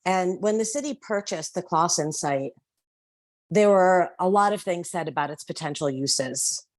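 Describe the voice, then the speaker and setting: A woman with a loud voice calling in to make an earnest public comment at a council meeting. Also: on the low side, no room sound. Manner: earnest, measured advocacy. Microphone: phone or call-in line.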